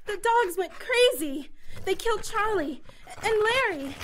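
A woman's voice: very high voice, expressive, unhurried, noisy background. An actress in an audio drama playing a terrified, trapped woman crying out for rescue. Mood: frightened.

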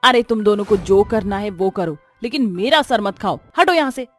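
A woman's voice: mid-range voice, expressive, normal speed, faint music behind, close to an everyday voice. A woman voicing a brusque, money-minded village toilet attendant, curt and dismissive, in a cartoon story. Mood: curt, irritated. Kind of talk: Hindi cartoon horror-comedy voice acting.

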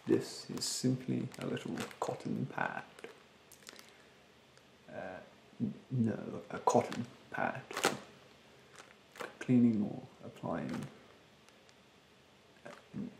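A young man playing a rude, condescending facial therapist in an ASMR roleplay, speaking softly and breathily in a haughty, halting manner. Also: on the low side, animated, roomy sound, noisy background, heavily acted. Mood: haughty, impatient. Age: young adult. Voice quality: soft, breathy, partly whispered. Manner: haughty, halting, drawn-out delivery. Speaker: ASMR performer playing a beautician. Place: bedroom, indoors.

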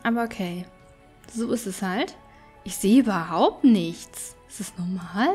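A woman's voice: medium pitch, unhurried, a little mellow, breathy edge, faint music behind. A woman giving casual, chatty gameplay commentary, thinking aloud as she plays. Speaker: let's player.

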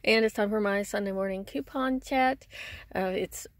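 A woman's voice: medium pitch, normal speed, dry recording. A middle-aged woman with a congested, stuffy nose chatting casually to camera from a parked car, rambling with lots of ums and restarts. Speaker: couponing vlogger.

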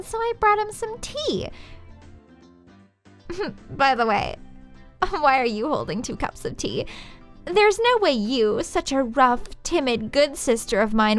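Woman as smug, teasing anime schoolgirl. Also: high voice. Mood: teasing, gloating. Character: smug, teasing, sugary teenage anime girl.

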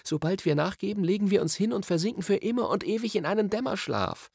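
A sensible, slightly worried young girl, as a male narrator puts her on, speaks earnestly and asks careful questions. The voice is raised well above his own, lively in tune and a little husky. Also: sits high, quick pace, dry recording, clean studio sound, voice pushed up, heavily acted. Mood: worried, urging.

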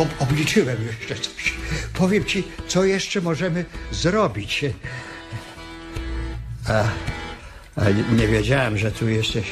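Actor as a stammering, anxious old ranch hand. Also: male voice, mid-range voice, lightly acted. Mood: eager, then uneasy. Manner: stammering, hesitant, repetitive. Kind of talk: radio drama acting.

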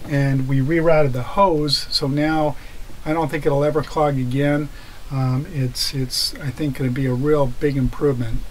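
A man's voice: fairly high pitch, a little hiss or hum, poor audio. Hesitant middle-aged man explaining repairs. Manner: hesitant, many ums, matter-of-fact. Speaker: sailing vlogger. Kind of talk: casual explanation of boat repairs. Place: inside a small sailboat cabin.

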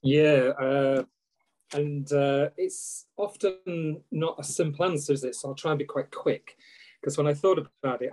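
A man's voice: medium pitch, warm tone, low-quality recording. A British man in his sixties speaking as a panellist on a video call, reflecting on his life in orderly points. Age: 60s. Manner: structured, numbering his points. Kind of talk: reflective personal account in a panel. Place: indoors at home on a video call. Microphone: video call microphone.

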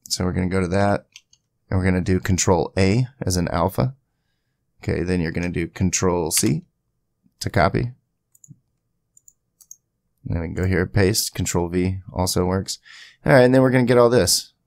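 A man giving a casual screen-capture software tutorial, thinking aloud informally as he goes. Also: sits low, moderate pace, creaky, long pauses, dry recording. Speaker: tutorial host.

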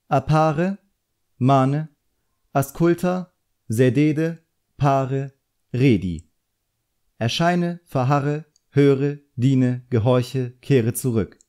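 A man's voice: medium pitch, extremely slow, pauses often, darkish tone, pitched above the performer's own voice. A man voicing a proud, defiant boy wizard's apprentice in an audiobook, eager and self-assured. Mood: triumphant. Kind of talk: audiobook character acting.

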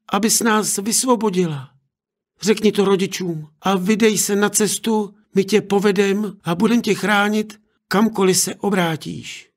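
A man's voice: high voice, voice pushed up. A man voicing a small enchanted raven in a fairy tale, urgent and insistent.

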